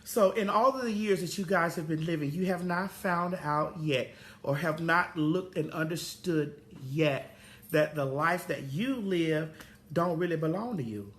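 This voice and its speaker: A woman's voice: sits low, measured pace. A woman in her forties hosting a casual talk show, profane and preachy, drawling out her stories. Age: 40s. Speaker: host.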